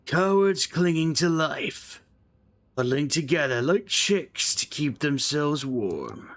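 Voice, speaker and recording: A man voicing a hidden monster in a game, taunting in a slow, drawn-out sneer. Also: on the high side, big pitch swings, a bit bright, heavily acted. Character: sneering unseen monster mocking the soldiers.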